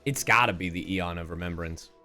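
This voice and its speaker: A man giving live gameplay commentary as a streamer, thinking out loud in a halting, exclaiming way. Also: sits low. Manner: musing, exclaiming, halting as they reason. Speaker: game streamer. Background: game music and dialogue.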